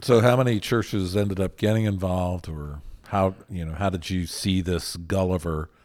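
Very deep-voiced joking host. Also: male voice, steady tone, normal speed, darkish tone. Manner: joking, short interjections. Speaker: host, interviewer. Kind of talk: casual interview.

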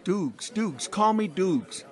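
A man's voice: sits high, lively intonation, slow, darkish tone. An actor playing a brash, worldly veteran newspaperman of the 1920s in an audio drama, talking in colorful, wry, wordy jabs.

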